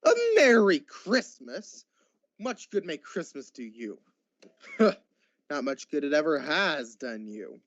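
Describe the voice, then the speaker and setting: A man's voice: fairly high pitch, big pitch swings, slow pace, fairly bright tone, dry recording, lo-fi sound, heavily acted. A man playing a miserly, grumpy old businessman in a radio drama, curt and scornful.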